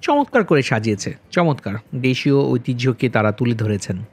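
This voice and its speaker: A man narrating a vlog as its host, politely and in measured phrases, speaking directly to viewers. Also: mid-range voice, expressive. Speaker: travel vlogger and host. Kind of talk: vlog voice-over narration with review commentary. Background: background music.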